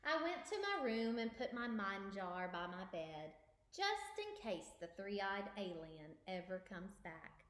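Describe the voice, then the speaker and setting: Slow, expressive woman reading a storybook. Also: medium pitch. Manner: deliberate, dramatic pauses. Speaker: storybook reader. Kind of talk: expressive children's read-aloud narration. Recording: echoey room.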